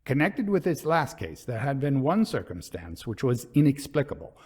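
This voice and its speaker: A man reading a literary ghost story as a storyteller, in measured, dramatic, formal narration. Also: medium pitch, big pitch swings, a little mellow, breathy edge.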